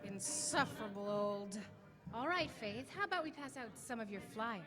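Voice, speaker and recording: A woman's voice: a little high, expressive, moderate pace, close to an everyday voice. A woman playing a spirited, wry and skeptical 1920s flapper in a staged radio drama. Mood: wry, game. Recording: reverberant hall, music behind.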